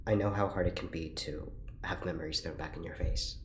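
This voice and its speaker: Actor as a low-voiced, gentle, awkward man. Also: fairly even intonation, a little fast, natural voice. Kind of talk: audio drama acting. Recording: roomy sound, faint noise.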